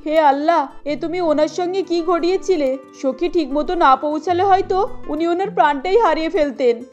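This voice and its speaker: Cartoon role: woman voicing a high-pitched scolding lady, slightly dull sound, music behind. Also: normal speed, darkish tone. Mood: worried. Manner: emphatic, scolding. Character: adult women: scolding employer and worried mother. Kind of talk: Bengali cartoon dubbing.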